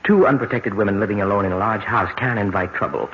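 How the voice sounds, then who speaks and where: An actor playing a polite, cautious banker in an old-time radio drama, speaking in an earnest, formal way. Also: male voice, on the low side, fast talker, fairly bright tone. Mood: earnest, concerned. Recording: dull, reverberant, noisy old radio recording.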